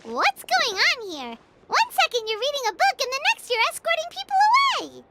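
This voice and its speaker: A woman voicing a small, excitable, chatty childlike fairy companion in a video game, in an exclamatory way. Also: very high voice, fairly quick, heavily acted. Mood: surprised. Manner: speaks of self in third person, exclamatory. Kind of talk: video game character dialogue.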